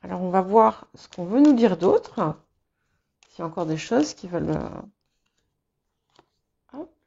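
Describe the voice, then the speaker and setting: A woman whose first language is English gives a tarot reading slowly and haltingly, with many fillers and restarts. Her voice is mellow and unhurried. The recording sounds a little dull and lo-fi. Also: on the low side, sing-song, vocal fry. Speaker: tarot reader. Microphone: small clip-on mic.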